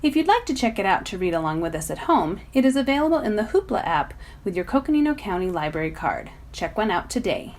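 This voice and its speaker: A woman reads a children's novel aloud in expressive narration. Her medium-pitched voice moves up and down a great deal, as a volunteer storyteller would. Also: quick pace, few pauses.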